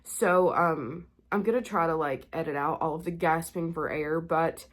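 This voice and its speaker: Nasal, congested woman host, lowish voice. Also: moderate pace. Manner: chatty, rambling with many "like" fillers. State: short of breath, gasping. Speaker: spooky-content creator and host. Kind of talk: casual chat to camera.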